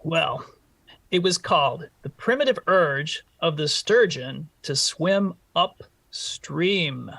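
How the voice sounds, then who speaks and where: A man's voice: on the high side, animated, slow, vocal fry, bright, forceful tone, dry recording, distant mic, full character voice, rough recording. An actor playing a naive, polite, bashful male teacher in a vintage radio comedy, speaking hesitantly. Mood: embarrassed.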